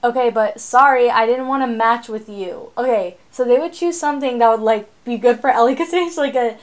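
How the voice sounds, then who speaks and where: A teenage girl co-hosting a podcast, chatting casually in an animated, rambling way, often laughing. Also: a little high, brisk. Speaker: podcast co-host. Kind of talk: casual chat.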